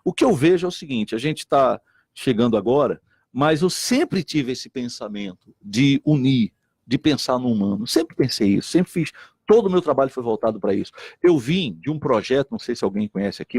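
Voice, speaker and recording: Middle-aged man, earnest, lively up-and-down delivery, slightly husky, dry studio sound. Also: medium pitch, fairly quick, no room sound. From Recife, Brazil. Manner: earnest, repeats himself, quotes others in lively voices. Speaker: state deputy and missionary pastor. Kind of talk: animated talk-show conversation.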